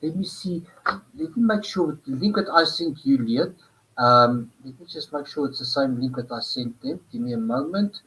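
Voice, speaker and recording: A man's voice: medium pitch, lively intonation, normal speed, fairly bright tone, poor audio. Hesitant man hosting a live stream. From South Africa. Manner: hesitant, many fillers, thinking aloud. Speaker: livestream host. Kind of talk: casual chat while troubleshooting.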